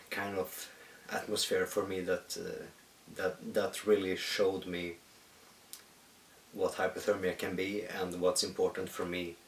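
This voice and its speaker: A Swedish man, speaking English as a second language, who talks about his music in a halting, thoughtful way with many pauses. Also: sits low. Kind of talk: reflective solo monologue. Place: at home in the countryside. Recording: echoey room, distant mic, faint hiss.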